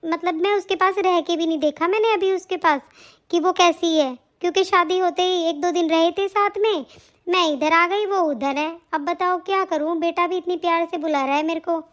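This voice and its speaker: A woman voicing a fretful, affectionate older mother-in-law in a family cartoon, with a plaintive, homely way of talking. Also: extremely high pitch. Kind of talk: Hindi family cartoon story dialogue.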